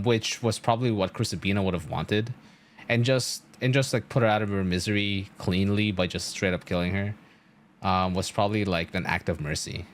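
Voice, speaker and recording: A man streaming a video game speaks in a fairly low voice. His commentary is casual and rambling, often halting and rephrasing as he thinks aloud.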